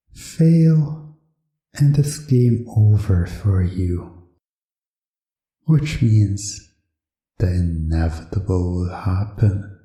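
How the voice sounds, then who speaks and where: Man playing a slow, deep, menacing game master. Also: mellow, roomy sound, in a large hall. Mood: smug menace. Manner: theatrical, slow, taunting, drawn-out emphasis. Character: menacing, mocking male game master toying with his captive contestant. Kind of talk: hypnosis audio roleplay villain.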